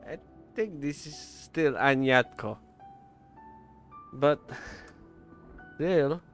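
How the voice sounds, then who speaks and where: Slow-talking man reacting to a game. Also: a little high, fairly bright tone. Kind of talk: casual live reaction commentary. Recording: game music behind the voice.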